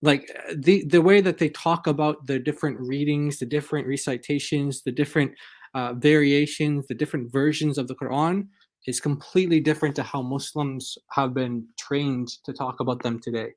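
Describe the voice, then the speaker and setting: A man's voice: mid-range voice, fairly even intonation, moderate pace. A man talking casually and off the cuff as a guest on a religious discussion show, often repeating and restarting his phrases.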